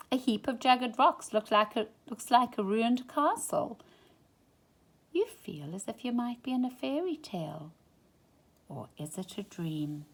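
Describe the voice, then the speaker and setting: A woman reading a children's picture book aloud in an expressive, dramatic storytime style. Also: on the high side. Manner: dramatic, drops into hushed whispers for suspense. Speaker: storytime reader.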